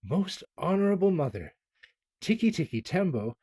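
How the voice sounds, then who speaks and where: Guy putting on a little storybook boy, all out of breath, high and strained, begging in a mellow voice pushed up. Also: very animated, moderate pace, breathy edge, dry recording, exaggerated voice. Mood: breathless shouting. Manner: panting, pleading, high and strained. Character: breathless small boy pleading urgently.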